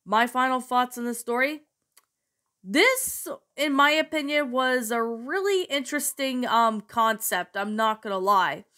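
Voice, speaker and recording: A woman's voice: on the high side, lively intonation, a little slow, sharp tone. A woman with a bad cold reading a creepy story, then chatting about it in a rambling way with many fillers. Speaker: creepypasta narrator. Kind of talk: storytelling narration then casual review.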